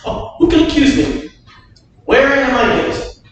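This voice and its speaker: A man's voice: very high-pitched, dull audio. A man reading an indignant old duke, far off in a hall. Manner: measured, declamatory verse. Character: older noble duke, proud, wronged. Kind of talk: staged Shakespeare reading. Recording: echoey hall, far from mic, faint noise.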